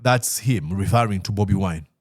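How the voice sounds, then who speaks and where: A man's voice: sits low, moderate pace, no echo, studio quality. A man from Uganda hosting a political talk show, speaking in a discursive way with frequent "uh" fillers and easy laughter. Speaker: host.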